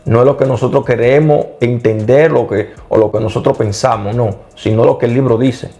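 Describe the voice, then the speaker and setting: An earnest middle-aged man explaining scripture in a casual talk, at an ordinary mid pitch. He stumbles and restarts as he goes and readily agrees with his partner. Faint music sits underneath. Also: fairly even intonation, fairly quick, warm tone. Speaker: co-host of a Bible study channel. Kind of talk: casual religious discussion.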